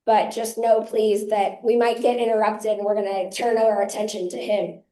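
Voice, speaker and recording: A hoarse woman with laryngitis opens a member meeting as chair and founder, giving brisk, practical announcements to a live audience. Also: mid-range voice, flat delivery, dark tone. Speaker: chair and founder of a local activist group. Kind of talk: opening remarks at a member meeting. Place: community meeting room with an audience. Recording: echoey meeting room.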